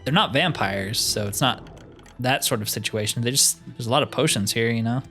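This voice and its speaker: A man's voice: medium pitch, fairly quick, sharp tone, soft background music. A man giving casual let's-play commentary, chatting and thinking aloud as he plays a fantasy game. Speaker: gaming YouTuber playing a fantasy roleplay game.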